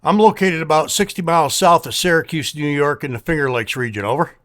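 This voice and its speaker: A man talking casually as an amateur radio operator in a folksy, measured, plain-spoken manner. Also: fairly high pitch, heavy background noise. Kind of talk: casual ham radio contact.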